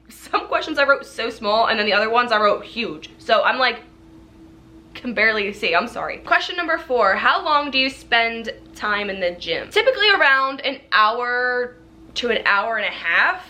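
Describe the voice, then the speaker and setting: A woman in her twenties chatting casually as she answers viewer questions in a vlog, talking in a lively way and laughing often. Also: on the high side. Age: 20s. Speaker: YouTuber.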